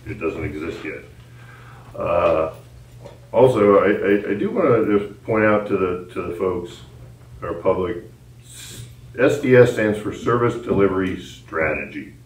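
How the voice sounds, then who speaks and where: A man's voice: low voice, a little hiss or hum. A man making blunt, emphatic remarks as a council member at a public meeting. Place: indoor council chamber. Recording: echoey room, distant mic.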